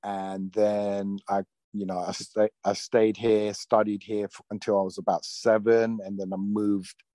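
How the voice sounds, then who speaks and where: A man in his forties with a London accent who grew up speaking Thai, talking about his career in a relaxed, rambling way as an interview guest. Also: lowish voice, unhurried, no echo. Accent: London English. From London, England. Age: 40s. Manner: easygoing, rambling, full of "you know". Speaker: actor being interviewed. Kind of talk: casual interview talk.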